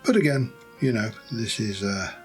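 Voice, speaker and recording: A man with a hoarse, croaky voice, rambling and thinking aloud as he plays and chats through a building game. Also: fairly low pitch, moderate pace, faint music behind. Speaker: let's play host. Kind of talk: casual gameplay commentary.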